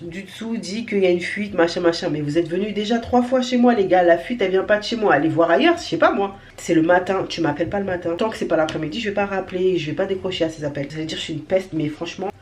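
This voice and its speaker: A woman's voice: fairly low pitch, quick pace, hardly any pauses, a little mellow, a little hiss or hum. A young woman with a cold chatting casually to her vlog audience, candid and slangy, grumbling and poking fun at herself. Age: young adult. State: has a cold. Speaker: vlogger.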